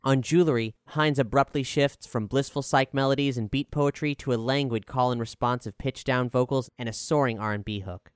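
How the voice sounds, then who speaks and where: A man narrating a scripted music review in a measured, articulate, formal way. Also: medium pitch, clear, resonant tone, no room sound, studio quality. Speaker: narrator reading an album review.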